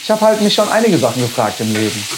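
A woman hosting a casual cooking chat, slightly hoarse today, chatty and self-mocking. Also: very deep voice, very animated, fast talker. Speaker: podcast host. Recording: noisy kitchen with frying.

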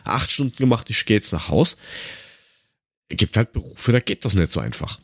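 A man's voice: on the low side, expressive, moderate pace, creaky, slightly rough, no room sound, clean studio sound. A man co-hosting a casual podcast, talking in a colloquial, rambling, slightly grumbling way. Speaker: podcast co-host.

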